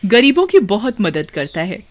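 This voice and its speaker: Woman storyteller with lively intonation. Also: mid-range voice, moderate pace. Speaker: narrator. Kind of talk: scripted storytelling narration.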